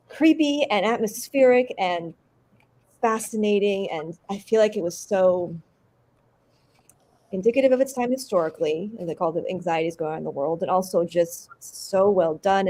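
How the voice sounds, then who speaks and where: A woman actor chatting casually as an interview guest, animated and very informal, often saying like. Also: medium pitch. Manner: animated, informal, peppered with 'like'. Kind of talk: casual chat.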